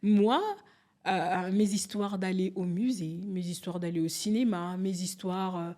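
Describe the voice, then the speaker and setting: A woman in her forties, from Angola, speaking candidly as a podcast guest, telling stories and acting out quotes with lively restarts. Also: fairly low pitch, unhurried. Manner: animated, storytelling, restarts and acts out quotes. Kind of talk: candid conversational interview.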